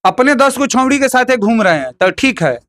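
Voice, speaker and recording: A man's voice: extremely high pitch, sing-song, fairly quick, a bit bright. A man with a Bhojpuri accent giving heated opinions in an interview, emphatic and combative, repeating phrases and firing rhetorical questions.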